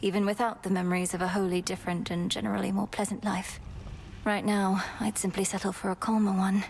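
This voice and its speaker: A woman's voice: medium pitch, flat delivery, brisk, vocal fry, a little mellow, slight background noise, lightly acted. A woman playing an earnest, composed young princess of the underworld in a video game, speaking somewhat formally. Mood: gentle, wistful.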